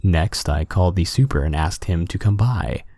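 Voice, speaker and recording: Male narrator for bedtime horror tales: lowish, mellow voice, expressive pitch movement, close dry sound. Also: normal speed, dry recording. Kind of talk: scripted horror story narration, relaxing ASMR-like bedtime reading.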